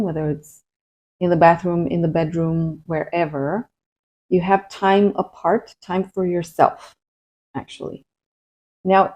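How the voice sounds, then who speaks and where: Soft-spoken woman coach. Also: lowish voice. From Romania. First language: Romanian. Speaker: breathwork coach. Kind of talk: casual advice vlog.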